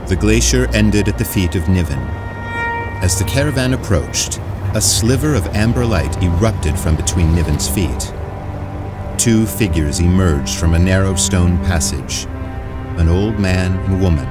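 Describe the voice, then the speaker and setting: A man giving solemn, deliberate scripted narration for an audio drama. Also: sits low. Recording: loud music bed under voice. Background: loud music.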